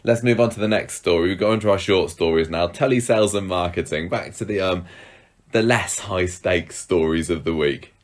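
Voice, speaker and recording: A man co-hosting a podcast, hoarse and croaky today, chatting keenly about a soap opera and repeating words as he starts. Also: sits low, moderate pace. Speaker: podcast co-host.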